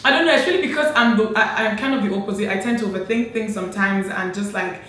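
A woman in her late twenties from Nigeria, hosting a casual sister tag chat with animated, laughing talk, filmed seated at home. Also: medium pitch, quick pace. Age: late 20s. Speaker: beauty vlogger host. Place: bright home room, indoors. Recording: echoey room with faint noise.

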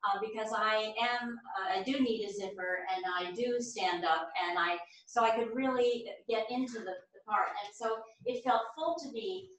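A woman, a stage actress, reflecting informally on her role in a halting way with restarted phrases. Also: on the high side, a bit bright. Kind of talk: informal post-performance reflection. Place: small theatre stage, distant camera. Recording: echoey, distant theatre recording, some noise.

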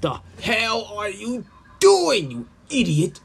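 A man plays a super-powered cartoon hedgehog gone mad with power. He cries out slowly and possessively, in a high, raging voice. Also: wildly swooping pitch, creaky, a bit bright, breathy edge, soft background music, full character voice. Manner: crying out, possessive.

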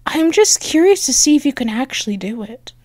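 Shy girly woman doing asmr roleplay, high voice that swoops around, lots of flustered pauses, sweet and soft. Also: very animated, no room sound, clean studio sound. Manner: bashful, halting, giggly, softly affectionate. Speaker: audio roleplay performer.

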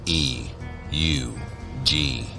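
A man giving measured, deliberate narration for a scripted horror audio drama. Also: extremely low pitch, steady tone, gravelly. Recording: voice over background music.

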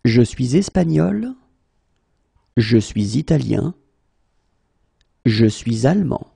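A man's voice: mid-range voice, big pitch swings, slow pace, creaky, mellow, slightly rough, no echo. A male native French teacher drilling phrases one at a time, repeating each and then breaking it into single words. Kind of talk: slow phrase-by-phrase language drill.

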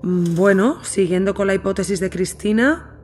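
An actress with a Spanish accent from Spain playing an earnest, reflective woman investigator who narrates her audio documentary in a measured, intimate way. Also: medium pitch, darkish tone, slight background noise, natural voice. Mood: pensive. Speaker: podcast narrator and protagonist. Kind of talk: intimate scripted narration and natural dialogue in an audio drama.

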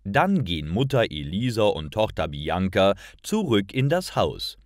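A man with a low voice narrates stories for learners. He reads slowly and carefully, articulating each word, in a dry, close recording. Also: very animated, no room sound. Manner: slow, careful, clearly articulated. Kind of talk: expressive scripted storytelling for language learners.

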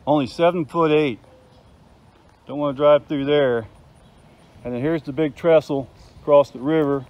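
A man's voice: a little high, fairly even intonation, moderate pace, dull audio. A man in his fifties giving an enthusiastic, chatty video tour outdoors, talking into a handheld phone camera. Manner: chatty, enthusiastic, points things out. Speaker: vlogger. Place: outdoors by a river.

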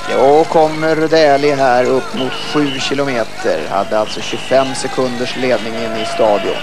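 A man with a Swedish accent giving live commentary on a ski relay in a measured way that builds to excited calls. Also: mid-range voice, music in the background. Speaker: sports commentator.

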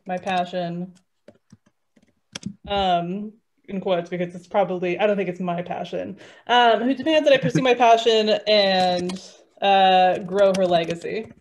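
A woman's voice: medium pitch, fairly even intonation, a little slow, darkish tone, slight background noise. Woman thinking aloud in casual game chat. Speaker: player and guest author. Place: indoors, on a group video call.

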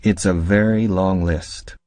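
Slow deep-voiced man reading phrases. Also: dry recording. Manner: slow, even, careful enunciation. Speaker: English phrase reader. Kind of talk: slow read-aloud language drill.

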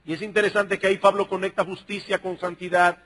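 A middle-aged man delivers a sermon in a high, forceful voice with a raspy, husky grain. He speaks emphatically as a pastor, putting questions to his listeners and addressing them directly. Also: flat delivery, fast talker. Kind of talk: sermon, expository preaching to a congregation.

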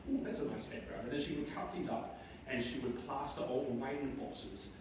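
Halting New man in an echoey church. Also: mid-range voice, far from the mic, noisy background. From New Zealand. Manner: halting, warm, with many restarts and fillers. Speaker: son giving a eulogy. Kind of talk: eulogy at a memorial service. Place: large church sanctuary. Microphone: far camera in the pews.

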